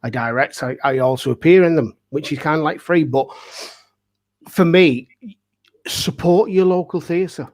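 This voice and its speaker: A man's voice: fairly high pitch, unhurried. Earnest middle-aged man in casual discussion. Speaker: pantomime dame and amateur theatre director.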